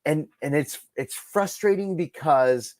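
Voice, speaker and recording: A man's voice: fairly high pitch, unhurried, a little mellow, no echo, studio quality. A middle-aged man chatting casually as a podcast guest, earnest and emphatic, repeating himself for stress. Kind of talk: casual fan discussion.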